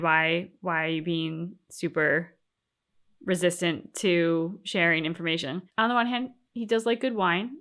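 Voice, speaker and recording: A woman's voice: fairly low pitch, a bit bright. A woman co-hosting a game reaction channel, talking through her opinions casually and emphatically. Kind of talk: casual discussion and commentary.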